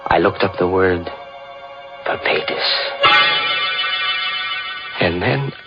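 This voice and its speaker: A deep-voiced suspense narrator. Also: male voice, expressive, slow pace. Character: nervous young male chemistry teacher narrator. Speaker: narrator and lead in a radio drama. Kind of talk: 1940s radio acting. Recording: muffled old radio recording with music.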